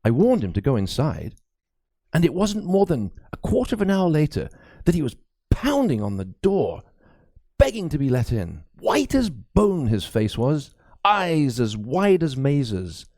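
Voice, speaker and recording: A frightened, fervent medieval priest, acted by a male audiobook reader, urgent and stammering. The pitch swoops dramatically over a creaky, dark timbre. The sound is close and dry. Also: wildly swooping pitch, unhurried, no echo, full character voice. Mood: agitated. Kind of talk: dramatic audiobook character acting.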